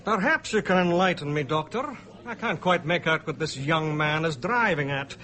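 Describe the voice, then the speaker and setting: High-voiced, dryly formal surgeon. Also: male voice, lively intonation, normal speed, bright, forceful tone. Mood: bemused, dry. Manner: dry, formal, politely dismissive. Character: dignified male brain surgeon, curt and unflappable. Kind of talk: radio drama dialogue.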